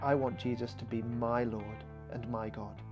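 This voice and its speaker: A man's voice: mid-range voice, steady tone, unhurried, highs cut off, close to an everyday voice. A man playing an earnest, polite detective. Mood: earnest, prayerful. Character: Inspector Smart, thoughtful, polite male detective. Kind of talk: children's audiobook character reading. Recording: music behind the voice.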